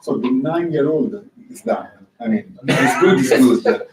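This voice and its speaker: A man's voice: mid-range voice, animated, unhurried. Italian man, 40s, lecturer, easygoing chatter; distant, roomy mic with crowd noise. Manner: chatty, laughs easily, lots of "you know". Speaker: university lecturer. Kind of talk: casual chat.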